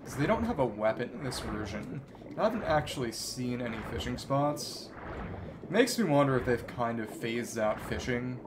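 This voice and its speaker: A man's voice: mid-range voice, lively intonation, normal speed, background noise. A man giving casual live commentary as a video game streamer, rambling and thinking aloud as he plays. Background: video game music.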